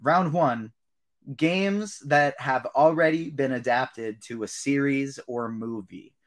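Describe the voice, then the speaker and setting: A man's voice: mid-range voice, a bit bright. Male podcast host, informal panel-show delivery, mid-range voice. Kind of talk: casual panel show hosting.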